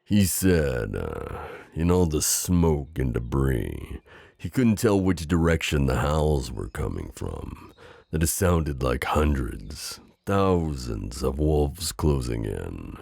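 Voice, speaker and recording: An extremely low, crackly-voiced knight, acted by a man, heard close and dry. He speaks in a courtly, tender way for a romantic audio roleplay, with lively swings in tone and a teasing, overprotective temper. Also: slow pace, gravelly, breathy edge, no room sound, heavily acted. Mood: wry, amused. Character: loyal, teasing, overprotective male knight hiding a princess. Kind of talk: improvised romantic audio roleplay, addressing the listener.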